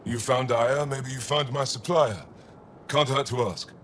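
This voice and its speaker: An actor playing a shrewd alien cargo trader in a video game, with blunt, clipped phrasing. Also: male voice, medium pitch, measured pace, a bit bright, a little hiss or hum, lightly acted. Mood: blunt, businesslike. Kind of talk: video game dialogue acting.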